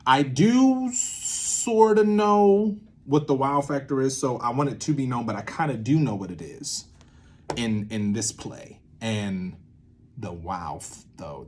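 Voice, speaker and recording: A man with a fairly low voice presents an informal creative lesson, improvising as he goes. He rambles playfully, muttering asides to himself, over some faint background noise. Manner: playful, rambling, thinking aloud with asides to self. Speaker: theatre maker presenting a creative method series. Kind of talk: informal improvised tutorial talk.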